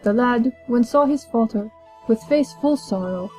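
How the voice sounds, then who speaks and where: Young woman reading an old timey tale, real slow, soft dark tone, music behind. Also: on the high side. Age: young adult. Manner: measured, declamatory. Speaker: storyteller, college student. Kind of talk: scripted narration of an archaic verse tale. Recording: music under the voice.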